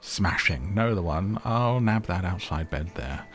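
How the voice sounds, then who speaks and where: A man's voice: low-pitched, lively intonation, unhurried, fairly bright tone. Man putting on a snobbish, posh woodland animal gent. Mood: sleepy, jaunty. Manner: affected posh, slipping into plainer speech.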